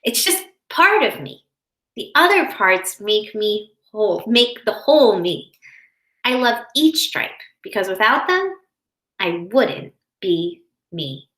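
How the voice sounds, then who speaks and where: A woman reading the part of a sensitive, earnest young boy in a picture book, with deliberate phrasing. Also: medium pitch, very animated, fairly bright tone. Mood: proud, self-accepting. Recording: roomy, echoing indoor sound.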